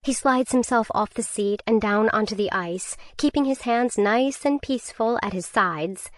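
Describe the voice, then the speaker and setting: A woman narrating a first-person survival thriller audiobook in a tense, measured way. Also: on the high side, no room sound.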